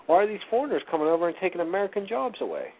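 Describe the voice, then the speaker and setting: A man's voice: high-pitched, racing, poor audio. A man co-hosting a casual radio chat show, rambling and joking as he tells stories. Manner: rambling, jokey, animated storyteller. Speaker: co-host.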